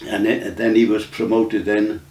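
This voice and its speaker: A man, recalling his memories in a casual, halting way with many restarts. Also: lowish voice, little pitch movement, a little mellow. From Wales. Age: 90s. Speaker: interview guest. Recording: roomy sound from a distant mic.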